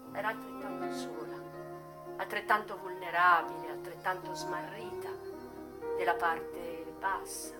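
A high-pitched female voice over clearly audible music, a worldly, unapologetic retired madam. She tells her memoirs as a theatrical radio monologue, wistful and dramatic, dropping to a hush and then pressing hard on her words. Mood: defiant, bitter. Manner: dramatic pauses, swings between hushed and emphatic.

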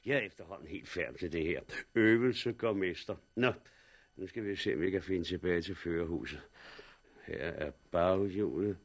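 An actor playing a jovial, wry blind man with a joking, chummy manner in a 1960s radio drama. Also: low-pitched. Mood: wry, weary. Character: jovial, wry blind man loading people onto a lorry.

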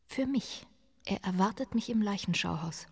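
An actress playing a bold young woman journalist in a radio thriller, often speaking in breathy, urgent tones. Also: mid-range voice, animated, moderate pace. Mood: headstrong. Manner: breathy whispering to herself, urgent calling out. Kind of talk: German radio thriller acting.

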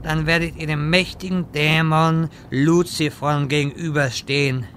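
A man's voice: fairly high pitch, steady tone, moderate pace, fairly bright tone, a little hiss or hum, close to an everyday voice. A man playing a pompous, vain wizard with grandiloquent, stilted wording in a parody radio play. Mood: solemn. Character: pompous, vain, theatrical male wizard quest-giver.

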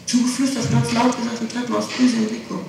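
A woman's voice: fairly high pitch, flat delivery, fast talker, over music. Middle-aged woman on echoey old tape. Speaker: suspect being questioned by police. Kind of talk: spontaneous answers in a police interrogation. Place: echoey room, recorded on tape. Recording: noisy, echoey 1960s tape, far from mic.

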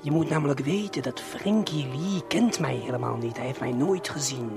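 A man playing a conspiratorial eavesdropping guide in a radio play, unhurried and confiding, talking straight to the listener. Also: mid-range voice, creaky, over music, lightly acted. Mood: confiding, observant. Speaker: narrator.

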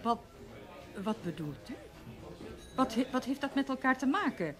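A woman's voice: sits high, very animated, normal speed, faint music behind. An actress playing an eager, evasive woman journalist in a Dutch radio play, hesitant and flustered. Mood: puzzled, then shrewd.

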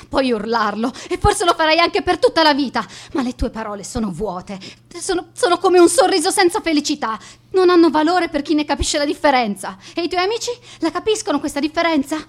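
A woman's voice: high voice, animated, fairly quick, few pauses. A young woman acting in a radio drama as a romantic, sincere teenage schoolgirl, earnest and emotional. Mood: bitter, accusing.

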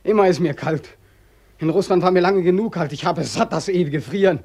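A man's voice: sits high, fairly quick, darkish tone. A hopeless, freezing soldier back from war in a radio drama. His voice is sad and desolate. He laments with weary, emphatic bitterness. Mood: bitter, lamenting. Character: bitter, freezing, limping war returnee, hopeless and self-pitying. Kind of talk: German radio play acting.

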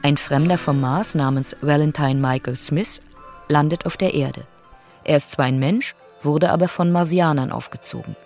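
Actor as a sober documentary narrator. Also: male voice, on the high side, normal speed, darkish tone, soft background music, close to an everyday voice. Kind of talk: scripted explanatory narration.

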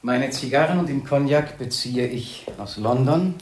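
An actor playing a sinister, jealous doctor in a German radio play, speaking with menacing politeness that gives way to taunts and whispers. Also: male voice, mid-range voice, normal speed, slightly rough, lightly acted. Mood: smug, urbane. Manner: silky mock courtesy breaking into outbursts and whispers.

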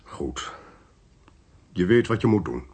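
A male actor in a Dutch radio play, voicing a cold, smooth-talking, ruthless intelligence official in a measured, condescending way. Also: low voice, very animated, a little mellow, exaggerated voice. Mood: coldly ruthless.

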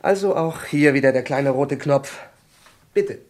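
Actor as measured, confident security specialist. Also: male voice, mid-range voice, slight background noise, lightly acted. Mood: calm warning. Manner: measured, formal, explanatory. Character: male intelligence security specialist, confident, courteous, matter-of-fact. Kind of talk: German radio crime drama acting.

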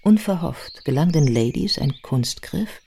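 A woman's voice: deep voice, lively intonation, a little slow, warm tone, slight background noise. A woman narrating a scripted biography as part of a radio play. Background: music.

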